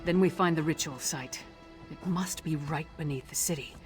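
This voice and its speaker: An actress playing a tough, battle-hardened warrior scout in a video game, blunt, commanding and dry. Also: female voice, low-pitched, moderate pace, soft background music, close to an everyday voice. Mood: grimly determined. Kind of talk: video game quest dialogue.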